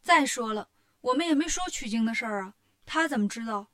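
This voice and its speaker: A woman's voice: a little high, animated, a little fast, a bit bright. A woman voicing a bold, confident male monkey hero with a brash, emphatic delivery for a story. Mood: sceptical.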